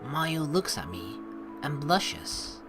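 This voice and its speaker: A woman doing a let's play, with a low voice and lively, swooping intonation. She narrates on-screen text and slips into playful commentary over background game music. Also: slow. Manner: playful, casual, teasing asides.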